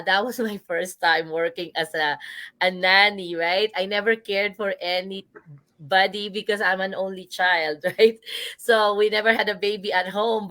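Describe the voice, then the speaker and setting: A woman's voice: medium pitch, fairly bright tone, dry recording. A Filipino-accented woman in her forties, a business coach telling her own story in a live interview, earnest and chatty, often checking in with "right?". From Philippines.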